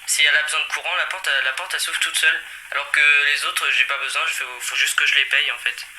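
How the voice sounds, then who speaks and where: A man's voice: a little high, level tone, quick pace, sharp tone. A man rambling hesitantly through a casual, confused complaint on a phone call, in a noisy recording. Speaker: prank caller.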